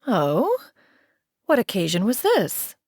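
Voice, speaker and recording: A woman's voice: mid-range voice, wildly swooping pitch, takes it slowly, darkish tone, no echo, clean studio sound, heavily acted. Proud, haughty, archaic immortal lady. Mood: proud, indignant. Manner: haughty, formal, archaic phrasing. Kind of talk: scripted video game dialogue.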